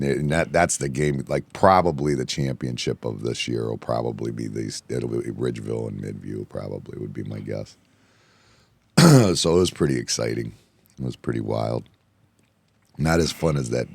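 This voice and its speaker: A hoarse man hosting a casual bar-talk show, rambling and excitable, often repeating himself. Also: very deep voice, normal speed, dry recording. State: hoarse from yelling. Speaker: podcast host. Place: indoor room, bar setting.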